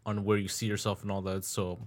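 A young man hosts a casual podcast interview. His voice sits low and stays flat, and he rambles through loose, filler-heavy questions in a laid-back way. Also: fairly quick. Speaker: podcast host.

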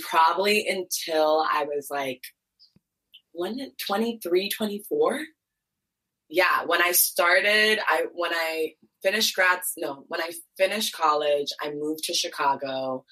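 A young woman with a low, bright voice tells stories in an animated, chatty way. She grew up in Ohio and her first language was Twi. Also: low-quality recording. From United States, Columbus, Ohio. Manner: lively, animated storytelling with laughs. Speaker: guest, beauty brand founder. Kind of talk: casual interview chat.

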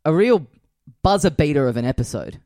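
A man's voice: a little high, animated, brisk, dark tone, no echo. A man co-hosting a comedy podcast, teasing his friend with dry quips. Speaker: podcast co-host. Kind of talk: casual comedic banter.

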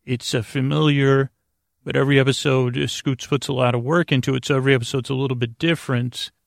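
A man with a creaky voice hosting a bedtime podcast, rambling in a halting way and often interrupting himself on tangents. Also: mid-range voice, fairly even intonation, no room sound, clean studio sound. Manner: meandering, hesitant, halting self-interruptions. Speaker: podcast host.

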